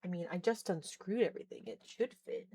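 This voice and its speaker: A woman's voice: on the low side, fairly quick, mellow, husky. A woman in her thirties chatting casually on a live stream, laughing often and reading chat aloud while she tinkers with a keyboard at home. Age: 30s. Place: indoors at home, working on a keyboard.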